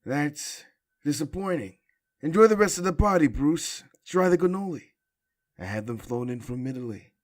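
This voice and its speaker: A man's voice: on the high side, lively intonation, normal speed, close to an everyday voice. A man voicing an oily, smug crime lord who is suave and pointed in a comic book drama.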